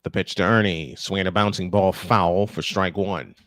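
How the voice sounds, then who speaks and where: A man's voice: sits low, fairly even intonation, moderate pace, a bit bright, no room sound. A man calling live baseball play-by-play in punchy, clipped phrases, mixed with casual chat. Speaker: play-by-play announcer and host.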